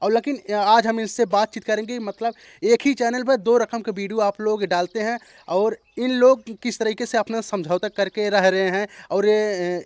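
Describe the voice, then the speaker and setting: A man's voice: high-pitched, no echo. A man hosting a channel and interviewing a couple, talking in a loose, repetitive way. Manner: repeats phrases, loose rambling intro. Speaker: host, interviewer. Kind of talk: casual roadside interview.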